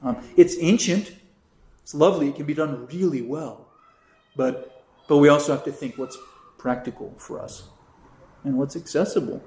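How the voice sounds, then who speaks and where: A man who is a priest, teaching a catechism class in a relaxed, chatty way with many asides. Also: a little high, moderate pace. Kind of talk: informal class talk. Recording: roomy echo.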